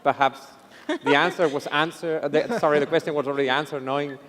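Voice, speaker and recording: A man moderating a conference panel, halting and correcting himself as he opens the floor to questions. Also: on the high side, steady tone, fairly bright tone. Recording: distant mic in reverberant hall.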